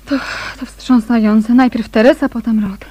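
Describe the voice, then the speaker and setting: Actress as a nervous, husky, confiding woman. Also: fairly high pitch, steady tone, moderate pace, mellow, natural voice. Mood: shaken. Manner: hesitant, breathy, confiding. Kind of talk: radio drama acting.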